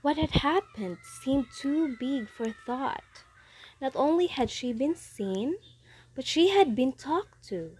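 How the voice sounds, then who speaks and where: A woman's voice: sits high, lively intonation, slow pace, a little mellow, rough recording. A young woman reading scripted story narration as a readers' theatre narrator. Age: young adult.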